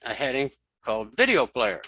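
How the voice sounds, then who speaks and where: A man giving a casual, step-by-step software tutorial, thinking aloud and talking through each keypress informally. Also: medium pitch, big pitch swings, moderate pace, no echo, studio quality. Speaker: screen reader tutorial instructor.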